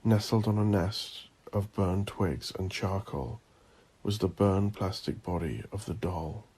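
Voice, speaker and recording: A man narrating dramatic horror fiction as the host of an audio drama, in an ominous, theatrical and deliberate manner. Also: low-pitched, darkish tone, slightly rough.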